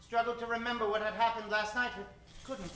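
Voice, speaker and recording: A man's voice: extremely high pitch, level tone, a little fast, pushed and bright, slight background noise. A man narrating an old-time radio serial in a suspenseful, melodramatic style, repeating phrases for effect. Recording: echoey stage, music behind.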